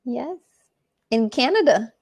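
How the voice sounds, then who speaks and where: A woman from Miami, a singer and artist tutoring on a video call, cheerful and quick to laugh. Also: on the high side, lively intonation, gravelly, darkish tone, slightly rough. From Miami, Florida. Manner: cheerful, gushing, laughs often. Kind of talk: casual video-call conversation.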